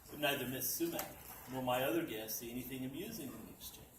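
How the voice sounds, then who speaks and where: A man reading a humorous story aloud at a library dinner event, in a dry, deadpan storytelling manner. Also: medium pitch, fast talker. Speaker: reader at a literacy event. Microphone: distant mic. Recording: echoey room, distant mic, background noise.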